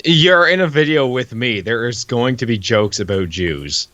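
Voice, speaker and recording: A man's voice: mid-range voice, expressive, normal speed, bright, forceful tone, dry recording, lo-fi sound. A man co-hosting with casual, joking commentary and a crude, wisecracking manner. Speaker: co-host of a comic read-along video.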